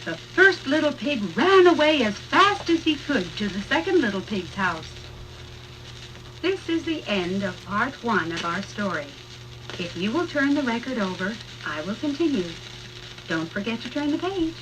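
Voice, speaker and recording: A woman reads a children's storybook aloud for a read-along record. Her voice is high and expressive, taken at a slow pace. Vinyl hiss sits clearly over it. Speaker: storybook narrator. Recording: hissy 1960s vinyl record.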